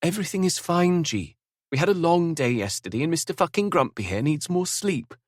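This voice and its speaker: A man's voice: a little high, animated, a little fast, no room sound, clean studio sound, voice pushed up. A man voicing Zara, a composed young woman who speaks her mind steadily. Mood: reassuring. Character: young woman Zara, calm, firm, level-headed.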